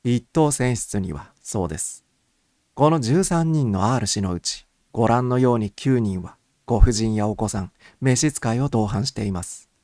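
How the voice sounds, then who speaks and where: A man voicing a polite, methodical gentleman who explains things in orderly fashion as an amateur detective in an audiobook. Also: mid-range voice, animated, normal speed, dry recording, studio quality, natural voice. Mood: reasoning, confident.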